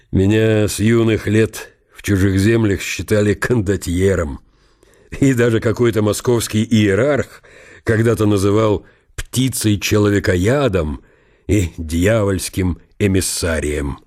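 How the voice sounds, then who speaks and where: An actor playing an aging count and veteran commander in a radio drama, brooding and calculating in a deliberate, reflective monologue. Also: male voice, sits low, darkish tone. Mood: brooding, self-questioning.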